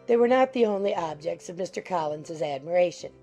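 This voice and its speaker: A woman's voice: fairly low pitch, animated, brisk, gravelly, mellow, soft background music. A woman narrating a classic novel as a scripted audiobook.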